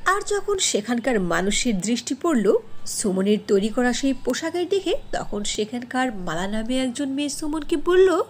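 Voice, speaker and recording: A woman's voice: fairly high pitch, sing-song, moderate pace, slight background noise. A woman narrating a scripted cartoon story as the storyteller.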